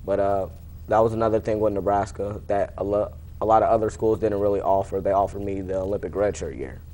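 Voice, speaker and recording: Young american guy, low voice, laconic casual interview answers, plain spoken. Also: steady tone, fast talker, dark tone. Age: late teens. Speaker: interview guest, high school wrestler.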